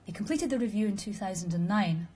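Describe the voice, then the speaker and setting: Measured woman giving a talk. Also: medium pitch, animated, mellow, breathy edge. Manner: measured, explanatory, dry humor. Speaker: conference speaker. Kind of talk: formal presentation with audience questions.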